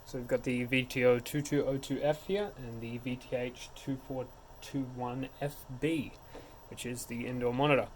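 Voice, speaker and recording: A man's voice: medium pitch, fairly even intonation, normal speed, hardly any pauses. A man narrating a hands-on technical tutorial in an informal, step-by-step way. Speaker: tech support instructor. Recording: clear background noise.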